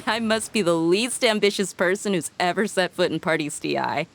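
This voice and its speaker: Game mercenary, female actor: young woman, slightly low register, confident, blunt, easygoing, light background noise. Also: normal speed, natural voice. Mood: easygoing, wry. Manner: casual, assured, frank. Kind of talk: video game voice acting. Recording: faint game ambience behind.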